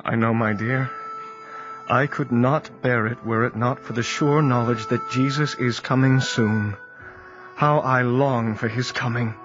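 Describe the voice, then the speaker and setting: An actor playing an earnest, devout 1840s preacher in a radio drama. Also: male voice, mid-range voice, fairly even intonation, a little slow, lightly acted. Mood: grieving. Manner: earnest, heartfelt delivery. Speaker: Charles Fitch. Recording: dull lo-fi recording with music.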